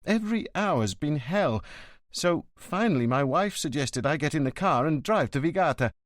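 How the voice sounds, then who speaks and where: A man's voice: a little high, expressive, moderate pace, dry recording, studio quality, pitched above the performer's own voice, close to an everyday voice. A man voicing an anxious, worried grown son whose voice cracks as he pleads. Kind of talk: audiobook character voice.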